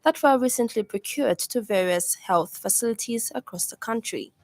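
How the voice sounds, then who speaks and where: A woman with a Zambian accent reads a scripted radio news bulletin in a formal, measured delivery. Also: a little high, loud music behind the voice. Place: radio studio.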